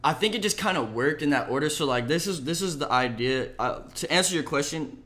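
Chill young dude, soft voice, says like and bro a lot, talking about his tracks. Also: a little high, lively intonation, fairly quick. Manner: laid-back slangy talk, lots of "like" and "bro". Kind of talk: casual interview chat.

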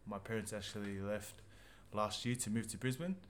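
An easygoing young man with a mid-pitched voice. Faint background noise is heard behind him. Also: moderate pace. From Australia. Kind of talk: casual chat.

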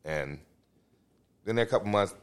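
Lively-voiced man in his fifties, rambling. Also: fairly low pitch, moderate pace, clear, resonant tone. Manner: rambling storyteller, repeats and restarts phrases. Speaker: podcast co-host. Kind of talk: casual chat, crude storytelling.

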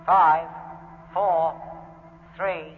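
An actor playing a brisk, businesslike ground control launch officer, calling out in a clipped, formal 1950s radio drama manner. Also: male voice, fairly high pitch, little pitch movement. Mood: urgent, official. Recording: tinny vintage radio, very loud noise.

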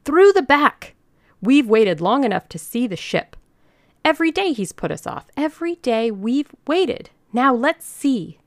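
A woman voicing a fierce, suspicious, frail little old lady in a storybook reading, with a forceful, demanding manner. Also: on the low side, big pitch swings, unhurried, few pauses, no echo. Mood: furious, rallying.

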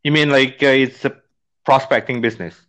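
Indonesian-accented man, halting speech. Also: mid-range voice, steady tone, a bit bright. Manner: halting, many fillers and restarts. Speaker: business trainer. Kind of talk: casual conversation.

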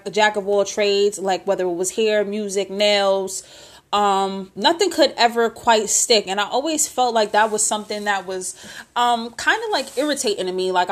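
A woman in her late twenties hosting a casual solo podcast intro, rambling and candid, with lots of filler and mild swearing. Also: mid-range voice. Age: 20s. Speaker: podcast host.

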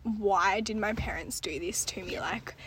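A woman's voice: mid-range voice, measured pace, crowd noise. A young woman co-hosting a podcast, talking candidly and laughing, often dropping to a near-whisper. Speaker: podcast co-host. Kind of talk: casual chat.

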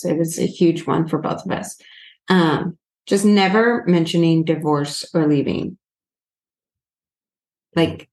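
A woman's voice: lowish voice, roomy sound. A woman co-hosting a relationship podcast, talking earnestly in a chatty way with many fillers. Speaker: podcast co-host. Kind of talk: casual couple chat.

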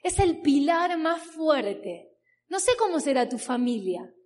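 High-pitched argentine woman, 30s, emphatic motivational talk, piles up lists. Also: sing-song, slow, a little mellow. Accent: Argentine, Buenos Aires area. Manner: emphatic, builds long repeating lists. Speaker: motivational speaker at a business event. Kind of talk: personal story told from a stage. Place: stage before a live audience.

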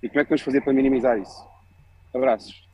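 A man's voice: medium pitch, fairly even intonation, rapid-fire, husky, no echo. A man from Lisbon with a European Portuguese accent, calling in to politely put his question to a panel over a video call. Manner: polite, earnest, direct. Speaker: caller. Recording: dull lo-fi call line.

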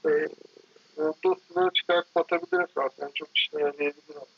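A man talking casually and reflectively in an interview, halting and digressive, often correcting himself. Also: fairly high pitch, steady tone, vocal fry, husky, lo-fi sound, faint music behind. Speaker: architect guest being interviewed.

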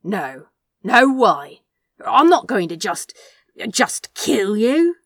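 A woman puts on a snarky British demon who blurts, swears and protests loudly. The voice is bright and edgy with a raspy touch, swinging up and down, recorded dry and close. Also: medium pitch, big pitch swings, slow, dry recording, full character voice. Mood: defensive. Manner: loud, blunt, swearing.

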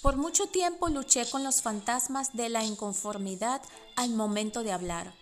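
A woman in her forties with a Venezuelan Spanish accent, reading her own personal essay in a reflective, measured way. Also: a little high, soft background music. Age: 40s. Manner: reflective, measured, with soft laughs. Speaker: podcast host and writer.

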